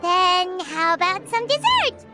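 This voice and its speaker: A woman voicing a tiny, excitable, gluttonous fairy companion in an anime game, with a squeaky childlike voice. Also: slow, loud music behind the voice, full character voice. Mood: cheerful, pleading. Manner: exclamatory, speaks of herself in third person.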